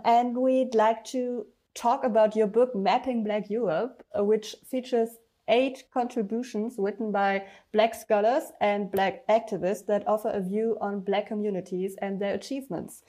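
A woman's voice: on the high side, dark tone. A woman hosting a podcast who reads a scripted introduction and then asks her guests questions. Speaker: podcast host.

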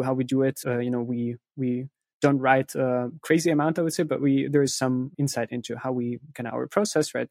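A man's voice: medium pitch, creaky, darkish tone, no room sound. A man speaking as an interview guest, explaining things step by step in conversation and often checking in with "right?" and "you know". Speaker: interview guest, content writer who interviews experts.

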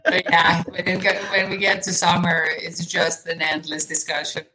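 A Serbian woman, a native Serbian speaker, chatting as a podcast guest over a video call, soft-spoken and thoughtful with small laughs. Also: fairly low pitch, steady tone, normal speed, rough recording. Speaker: podcast guest, nutrition coach. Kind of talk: casual interview chat. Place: home in southwest England.

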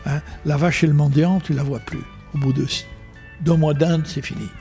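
An older man, a photographer telling stories slowly and repeating phrases for emphasis. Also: on the high side, steady tone, vocal fry, darkish tone, faint music behind. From Algeria. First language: Arabic. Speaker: photographer guest. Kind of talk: reflective storytelling in conversation.

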